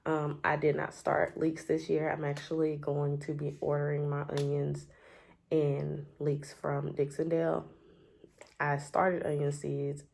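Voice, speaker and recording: A woman talks casually at home with a low, gravelly voice that sounds stuffy and scratchy from a cold. She fills her talk with ums and trailing pauses. A faint hiss sits under her voice. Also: completely flat delivery, darkish tone. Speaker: homestead gardening vlogger. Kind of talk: casual chatty show-and-tell. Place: home, at a table.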